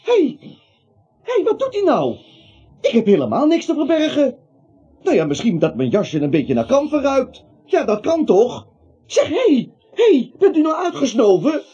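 Nervous, pleading everyman, stammering, soft-edged husky tone, very animated intonation, dull radio drama sound. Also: very high voice, normal speed, full character voice. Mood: anxious, protesting. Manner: stammering, pleading, repeats himself. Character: nervous, bewildered, neutral everyman protesting his innocence. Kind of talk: Dutch radio play acting. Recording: muffled, dull radio play recording.

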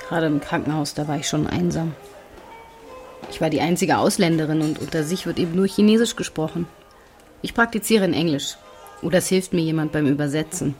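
An actress playing an earnest German expatriate doctor, giving a plain, reflective personal account. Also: female voice, fairly low pitch, a little mellow, lightly acted. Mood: wistful. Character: earnest German woman doctor living abroad, lonely, exasperated. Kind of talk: documentary-style personal monologue.

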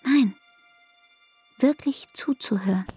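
An actress playing a hurt young barmaid in a radio play, speaking intimately and deliberately in a breathy, hushed voice. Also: female voice, on the high side, no room sound, soft background music, heavily acted. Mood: hurt, restrained. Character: Frieda, hurt young barmaid reproaching her lover. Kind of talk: radio play acting, literary monologue.

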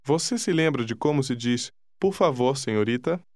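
A man narrating a language course, giving calm, deliberate prompts to listen and repeat. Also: medium pitch, no echo, studio quality. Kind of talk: scripted instruction.

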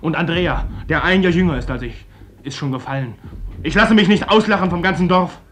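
Casting: male actor for defiant teenage boy, old radio play; fairly high voice, insistent, emphatic; slightly noisy recording. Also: fairly quick, fairly bright tone, natural voice. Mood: defiant, urgent. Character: defiant, hot-headed teenage village boy. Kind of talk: classic German radio play acting.

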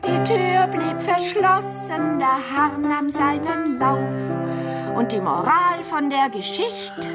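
An actress playing a haughty elderly madame in a German radio play, imperious, brisk and theatrical. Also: female voice, high voice, lively intonation, over loud music. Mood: mock-dramatic recital. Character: haughty elderly madame of a shabby care household.

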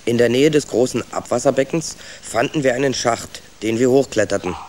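A man's voice: medium pitch, a little fast, darkish tone, slight background noise, lightly acted. A young man playing an adventurous, stubborn young rat who tells his own story in a children's radio play. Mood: curious. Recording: clear ambient effects behind.

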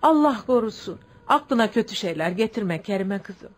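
A woman playing a caring, calm older village neighbour who reassures others in a radio play. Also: medium pitch, moderate pace, close to an everyday voice. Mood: soothing. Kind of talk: Turkish radio theatre acting.

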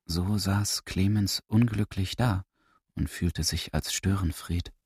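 A man's voice: deep voice, takes it slowly, darkish tone, breathy edge. A man reading scripted literary narration as the narrator of a radio drama.

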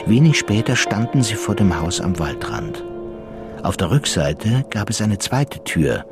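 A man with a low-pitched voice reads scripted narration for a radio drama. Music plays clearly behind him. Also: big pitch swings, unhurried.

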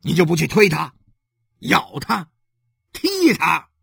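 Raging, jealous husband in an audiobook drama: coarse, explosive, high-strained shouting with a rasp. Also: male voice, wildly swooping pitch, fast, no room sound, studio quality, full character voice. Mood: angry demanding. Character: enraged jealous husband bellowing at his wife.

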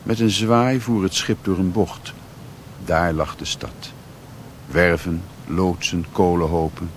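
An actor playing a sombre, reflective narrator in a Dutch radio drama, reading literary prose deliberately. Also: male voice, low-pitched, fairly even intonation. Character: sombre male narrator recalling a sea voyage. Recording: noisy old radio play recording.

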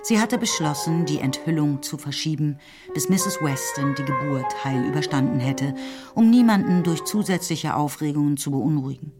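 A woman narrating a literary radio play, telling the story in a measured, scripted way. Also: deep voice, animated. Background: instrumental music.

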